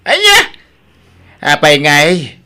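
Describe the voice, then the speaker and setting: A man's voice: on the high side, wildly swooping pitch, slow, bright, forceful tone, smooth, heavily acted. A man playing a worried, stern old father in a radio drama.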